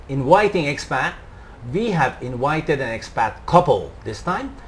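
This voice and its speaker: A man hosting a TV interview show, opening with a lively scripted welcome and then asking relaxed questions. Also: on the high side. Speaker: TV talk show host. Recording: faint background noise.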